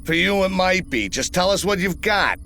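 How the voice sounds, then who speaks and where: A cocky field commander from a video game. His voice sits slightly high with a gravelly fry, over light music. He is casual, flippant and self-assured. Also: lively intonation, moderate pace, bright, forceful tone, full character voice. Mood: wry, casual. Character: cocky wisecracking male mercenary field commander. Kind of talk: video game cutscene acting.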